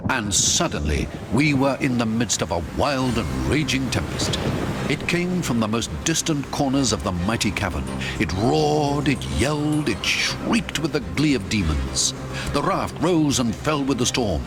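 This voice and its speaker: A man's voice: mid-range voice, big pitch swings, measured pace, music in the background. A man narrating an adventure story in a dramatic, theatrical scripted style.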